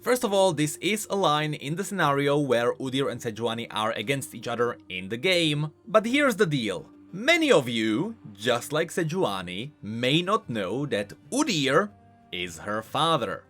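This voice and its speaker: A man hosting a video game lore explainer, speaking in an animated, emphatic way. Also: medium pitch, unhurried. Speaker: host of a game lore channel. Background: music.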